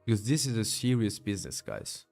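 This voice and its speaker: A man's voice: on the low side, sing-song, a little fast, vocal fry, darkish tone, soft background music. A man in his late twenties casually talking through his trades on a live stream and answering chat, with many fillers, tired after a long session. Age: 20s. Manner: casual, many fillers, calls viewers bro. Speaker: day trading streamer.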